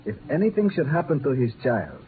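An actor playing a grave, formal plantation manager with a Latin American accent in a 1950s radio drama. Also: male voice, medium pitch, huge pitch swings. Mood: ominous. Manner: formal, measured. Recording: tinny old radio broadcast, light noise.

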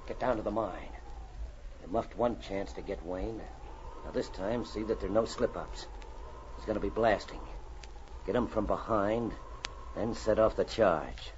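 An actor playing a scheming, murderous villain with a smooth false front in a 1940s radio drama. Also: male voice, lowish voice, measured pace, pauses often, natural voice. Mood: cold, plotting. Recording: noisy, dull old radio transcription.

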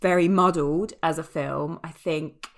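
A woman's voice: low-pitched, steady tone, measured pace. A woman hosting a casual film podcast, chatty and opinionated, often correcting herself as she talks. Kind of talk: casual film review chat.

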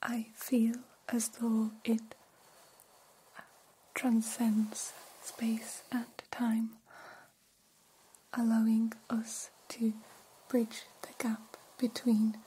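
A woman voicing a gentle, curious humanoid alien in whispered ASMR roleplay, speaking in soothing, stilted, formal phrases. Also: a little high, completely flat delivery, extremely slow, pauses often, heavily acted, rough recording. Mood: grateful, gentle. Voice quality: breathy whisper.

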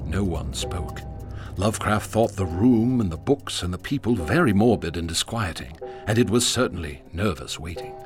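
A man narrating a radio drama in a measured, ominous storytelling manner. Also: low-pitched, animated, faint music behind.

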